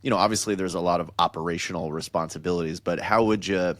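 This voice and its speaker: A middle-aged man with a very deep voice hosts a loose, jokey chat. He talks in excitable bursts and rambles through his questions, and the sound is close and dry. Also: fast, no echo. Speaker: podcast host. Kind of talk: casual chat.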